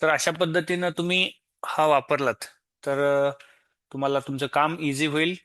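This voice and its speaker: A man with an Indian Marathi accent giving an informal, step-by-step software tutorial, explaining as he goes and mixing in English tech terms. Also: a little high, steady tone, moderate pace, no echo, clean studio sound. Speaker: software demo presenter.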